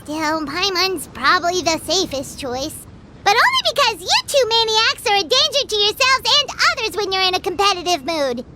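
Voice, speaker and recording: A woman's voice: extremely high pitch, big pitch swings, moderate pace. A woman voicing a small, childlike, sassy and fretful fairy companion in a video game, exclaiming as she talks. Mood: indignant, teasing. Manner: refers to self in third person, exclaiming. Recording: heavy background noise from game footage.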